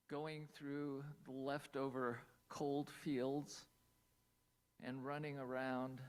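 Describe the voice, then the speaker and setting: A man from Pennsylvania giving a talk over a video call as a storyteller, lingering on single words, with playful asides. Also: fairly high pitch. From Pennsylvania, USA.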